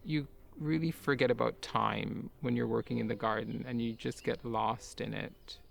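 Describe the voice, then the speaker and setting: Man, middle pitch, deeply gravelly, gentle reflective talk, light laughs. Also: steady tone, normal speed. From grew up in Kuwait. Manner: soft-spoken and musing, with light laughs. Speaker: garden owner and artist. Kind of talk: reflective personal talk for a garden video.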